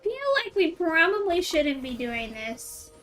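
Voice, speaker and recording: A woman's voice: sits high, animated, measured pace, fairly bright tone, soft background music. A woman streamer commenting casually while playing a game, with chatty, wry asides. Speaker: gaming streamer.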